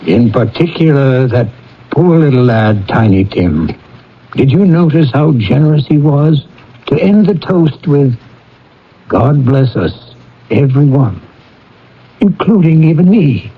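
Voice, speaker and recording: An actor playing a humbled old miser in a radio drama, halting, earnest and pleading. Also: male voice, mid-range voice, lively intonation, slightly rough, heavily acted. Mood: humbled, moved. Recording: muffled vintage radio broadcast.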